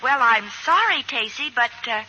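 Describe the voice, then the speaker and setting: A gossipy neighbor in a vintage radio comedy. Her voice is very bright and sharp, high and lively, with a wry chattiness. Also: moderate pace. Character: brisk, gossipy, wisecracking neighbor woman. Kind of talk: 1940s radio acting. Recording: faintly noisy old radio recording.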